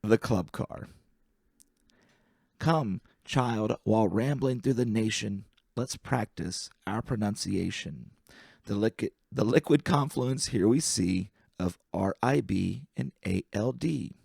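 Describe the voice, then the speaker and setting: A man reading humorous poetry aloud as an amateur, with a deliberate, wry delivery and careful enunciation. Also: on the low side, vocal fry, darkish tone, breathy edge, dry recording, clean studio sound.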